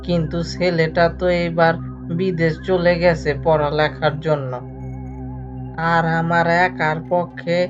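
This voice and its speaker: A woman playing a worried, grumbling older male villager in an animated cartoon, complaining anxiously. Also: low-pitched. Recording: dull lo-fi cartoon soundtrack. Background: faint music.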